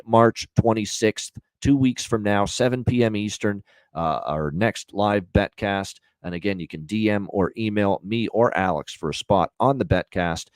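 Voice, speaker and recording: A man's voice: fairly low pitch, no room sound. A man hosting a sports betting show, talking off the cuff in an emphatic way that repeats phrases for stress. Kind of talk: unscripted betting show commentary and promotion.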